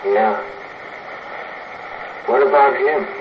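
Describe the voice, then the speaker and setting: A muffled, noisy old radio recording of a resigned spaceship crewman. He talks slowly and plainly at a middling pitch. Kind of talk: 1950s radio drama acting.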